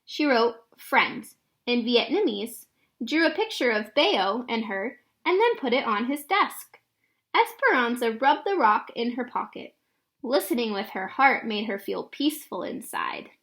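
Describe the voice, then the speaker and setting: High-pitched woman reading a children's story. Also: big pitch swings, unhurried, fairly bright tone. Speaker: read-aloud narrator. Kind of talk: expressive children's picture book read-aloud.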